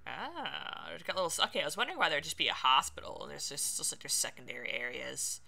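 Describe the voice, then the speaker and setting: A woman streamer chats casually through a game, animated and reactive. Her voice is slightly low and creaky but bright, with game music softly behind it. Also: fast talker. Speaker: let's play streamer.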